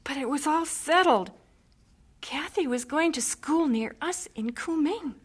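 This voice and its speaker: A woman's voice: sits high, lively intonation, unhurried. An actress playing an impatient, headstrong missionary wife and mother in a radio drama, urgent and emphatic. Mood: hurried, anxious.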